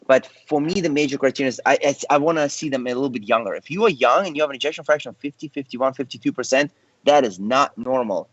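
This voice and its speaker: A man's voice: medium pitch, fast, a bit bright, no echo. A male cardiologist on a panel, speaking in a confident, conversational way with joking asides. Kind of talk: panel discussion. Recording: muffled dry video call audio.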